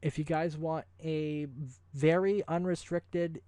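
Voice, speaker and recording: Higher-pitched man, effusive casual video host. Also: little pitch movement, slow pace, mellow, clear, resonant tone, dry recording. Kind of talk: casual ad-libbed promotional vlog talk.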